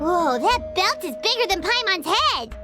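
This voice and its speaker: A woman's voice: extremely high pitch, very animated, unhurried, over loud music, heavily acted. A woman voicing a tiny, nosy fairy-like companion girl who chatters curiously and calls herself by her own name, in a game. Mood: playful. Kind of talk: game voice acting.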